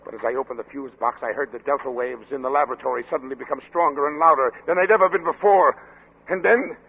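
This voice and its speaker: An actor playing an anxious, earnest doctor in 1940s-style radio drama, pleading and halting in a melodramatic manner. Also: male voice, mid-range voice, vocal fry, slightly rough. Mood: urgent, grave. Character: anxious, earnest doctor colleague, fearful and urgent. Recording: old muffled radio recording with faint noise.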